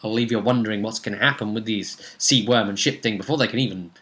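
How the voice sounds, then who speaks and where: Bright-voiced man recapping a tabletop game. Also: fairly low pitch, fast. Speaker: game master and host. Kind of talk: casual recap of a tabletop role-playing session.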